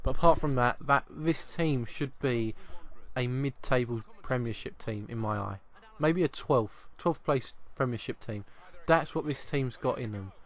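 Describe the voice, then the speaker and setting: A man, slightly croaky with a cough, giving chatty football video game commentary and often repeating his first words. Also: medium pitch, measured pace, hardly any pauses, fairly bright tone. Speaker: gaming channel host.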